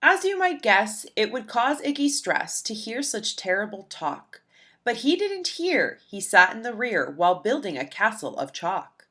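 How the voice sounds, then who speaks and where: A woman narrating a rhyming children's storybook aloud in an expressive, rhythmic, dramatic way. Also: fairly high pitch, a little slow. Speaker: storybook reader.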